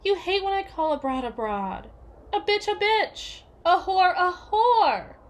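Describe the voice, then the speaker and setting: A woman's voice: very high-pitched, lively intonation, a little slow, slight background noise. A woman playing a domineering, possessive, jealous young yandere in a scripted ASMR roleplay, with a sardonic, needling delivery. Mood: demanding, irritated. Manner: sardonic, foul-mouthed, needling, with hesitant trailing repeats.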